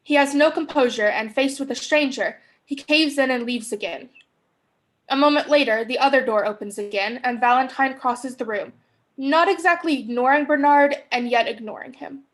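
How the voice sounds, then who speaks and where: A woman's voice: high voice, normal speed. A young woman reading stage directions as scripted narration for a play. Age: young adult.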